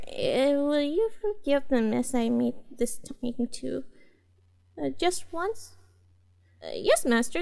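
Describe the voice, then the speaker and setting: A woman plays a cute catgirl pet in a roleplay audio. Her voice is very high and girlish, with some creak in it. She talks slowly and hesitantly, earnest and eager to please. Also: a little mellow, exaggerated voice. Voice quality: cutesy, girlish. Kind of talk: scripted roleplay audio, addressing a master.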